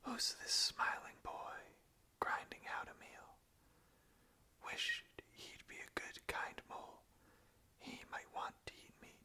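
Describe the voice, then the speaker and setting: An ASMR host speaking in a hushed, breathy, unvoiced whisper. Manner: slow, hushed delivery. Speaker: ASMR host reading song lyrics aloud. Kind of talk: whispered ASMR, reading and casual chat.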